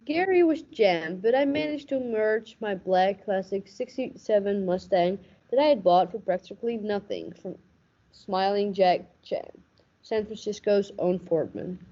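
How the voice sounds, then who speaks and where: A young woman playing a cynical, hardboiled police lieutenant with deadpan, tough-talking noir narration in a radio play parody. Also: medium pitch, measured pace. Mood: brooding, deadpan. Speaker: student radio play actor. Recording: dull, muffled lo-fi recording.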